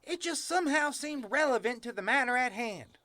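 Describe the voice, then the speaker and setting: Excitable, high-voiced young farm boy, acted out. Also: fairly quick. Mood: earnest, philosophical. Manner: folksy talk mixed with grandiose speech. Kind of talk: comic western audio drama acting.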